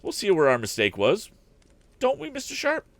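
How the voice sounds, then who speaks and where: A man's voice: sits high, wildly swooping pitch, normal speed, creaky, a bit bright, dry recording, voice pushed up, heavily acted. A man voicing an earnest, confident teenage boy inventor in a storybook reading, upbeat and a touch indignant. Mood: eager, confident.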